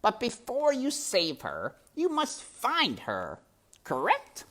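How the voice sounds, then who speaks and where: A woman's voice: on the high side, wildly swooping pitch, a bit bright, pitched above the performer's own voice, exaggerated voice. A woman voicing a sly, scheming male rat villain who mocks in a silky, theatrical way. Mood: sly mocking. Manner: silky, mocking, drawn-out and theatrical.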